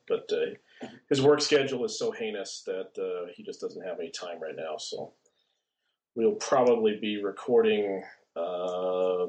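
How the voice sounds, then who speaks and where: Guy guest on a podcast, lowish voice, lots of uhs, kinda unsure. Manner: hesitant, many uhs and restarts. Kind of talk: casual podcast chat.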